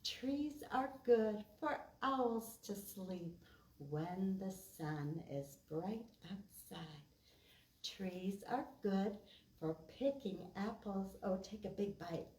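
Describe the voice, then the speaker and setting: Slow-talking woman leading children's storytime, echoey room. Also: medium pitch. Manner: expressive, simple talk addressed to small children. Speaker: preschool storytime host at a library. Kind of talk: children's storytime, reciting rhymes and reading a picture book aloud. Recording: roomy echo, distant mic.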